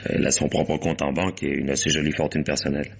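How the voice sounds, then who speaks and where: An actor playing a brusque, imperious businessman and wronged husband in a French radio mystery, with a husky voice. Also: extremely low pitch, level tone, quick pace, heavily acted. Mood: explaining. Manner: brusque, domineering, blunt. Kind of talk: French detective radio drama acting.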